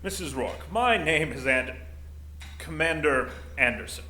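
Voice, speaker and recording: A man playing a gruff, middle-aged, desk-bound security commander in an audio drama, blunt and dryly bureaucratic. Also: a little high, animated, unhurried, bright, forceful tone. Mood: stiffly polite. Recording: roomy echo, faint noise.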